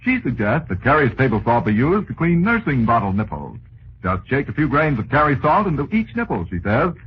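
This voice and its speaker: A man's voice: medium pitch, very animated, brisk. An American man working as a 1940s radio announcer, reading sponsor copy with polished, folksy salesmanship. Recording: muffled, hissy 1940s radio recording.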